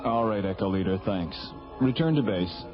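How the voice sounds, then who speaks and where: An actor playing a businesslike space-station controller, terse and procedural, in a radio science fiction drama. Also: male voice, fairly low pitch, animated, normal speed, lightly acted. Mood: businesslike, concerned. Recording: dull vintage radio recording, music behind.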